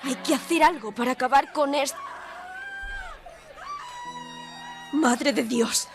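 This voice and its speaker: A woman's voice: high voice, natural voice. An actress playing a defiant, articulate young woman reporter held in an asylum, in a dramatic audio drama. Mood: anguished. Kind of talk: audio drama narration and dialogue. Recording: music under the voice.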